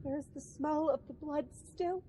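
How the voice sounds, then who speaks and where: A woman's voice: high voice, fairly even intonation, moderate pace, mellow, a little hiss or hum, natural voice. A woman playing a guilt-racked, imperious sleepwalking noblewoman in a Shakespearean radio drama, muttering in fragments with sudden outbursts. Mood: haunted, urgent.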